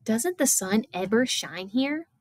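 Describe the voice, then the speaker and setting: A woman's voice: fairly high pitch, animated, unhurried, darkish tone, no room sound. A woman voicing a timid, uneasy young girl in a storybook, with an anxious, wavering delivery.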